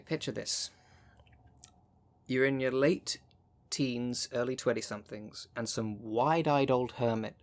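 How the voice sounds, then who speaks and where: A man playing a jaded, sardonic thirty-two-year-old witch in an ASMR roleplay, talking in a dry, sarcastic, conversational way. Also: medium pitch. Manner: dry, sarcastic, sweary, sighing.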